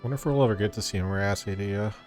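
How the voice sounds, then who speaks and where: A man's voice: lowish voice, fast talker, a little mellow. A man giving casual gameplay commentary as a streamer, offhand, slangy and full of swearing. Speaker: game streamer. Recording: game music behind the voice.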